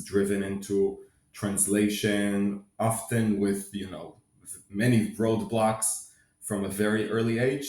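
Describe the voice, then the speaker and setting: A man who grew up in Israel, answering interview questions in a measured, thoughtful way with frequent restarts. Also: fairly low pitch, darkish tone, echoey room. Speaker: guest, company CFO.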